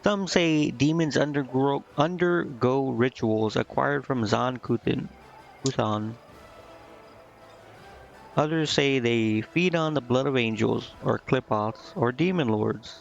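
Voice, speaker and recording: Man reading quiet, regretful demon woman, lo-fi sound. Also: medium pitch, slow, creaky, faint music behind. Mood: musing.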